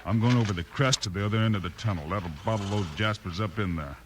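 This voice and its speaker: An actor playing a calm, commanding frontier marshal, terse and decisive, in a 1950s radio western. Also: male voice, lowish voice, fast, close to an everyday voice. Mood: composed. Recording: very noisy old 1950s radio recording.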